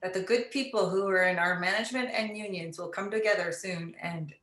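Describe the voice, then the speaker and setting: A woman's voice: lowish voice, a little fast, fairly bright tone. A woman hosting a casual conversational interview, recorded with a roomy, echoing sound. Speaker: interviewer, podcast host.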